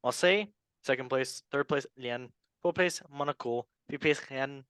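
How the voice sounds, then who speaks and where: A man's voice: medium pitch, moderate pace, clear, resonant tone, no echo. A man hosting a casual football podcast, joking about himself and reading out league tables. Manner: jokey, self-mocking, animated. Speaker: podcast host. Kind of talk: casual sports chat.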